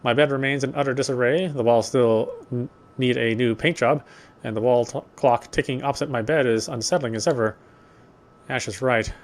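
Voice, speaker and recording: A man reading a horror game story aloud, with expressive, lingering narration. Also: medium pitch, moderate pace. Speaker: let's player reading a visual novel aloud.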